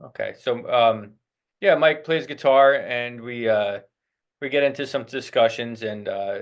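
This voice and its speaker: A man's voice: mid-range voice, a little slow. A man hosting a casual interview with his guitar student, speaking loosely with filler words. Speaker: host, guitar teacher.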